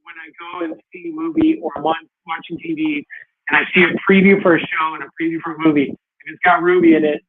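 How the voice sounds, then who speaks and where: A male interview host with a fairly high voice asks long, wandering questions and stumbles into restarts. He sounds tinny, as if on a phone line. Clear crowd chatter runs behind him. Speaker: talk show host. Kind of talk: casual interview.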